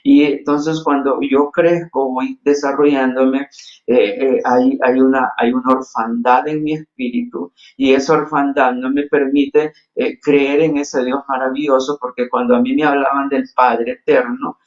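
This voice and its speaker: A man's voice: medium pitch, little pitch movement, a little slow, dull audio. A man from Honduras with a Honduran Spanish accent, preaching a sermon earnestly with frequent restarts and fillers. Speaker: pastor.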